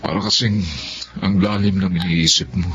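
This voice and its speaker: A man's voice: low voice, fairly even intonation, moderate pace, a bit bright, dull audio, faint music behind. A man with a Filipino accent acting an attentive, mild-tempered, solicitous husband in a radio drama. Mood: caring, puzzled.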